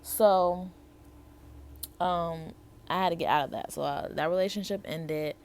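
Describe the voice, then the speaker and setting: Low-voiced young American woman with creaky fry. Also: unhurried, a little mellow. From Buffalo, New York, and Las Vegas, United States. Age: young adult. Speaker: vlogger telling her life story. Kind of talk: casual personal storytelling to viewers.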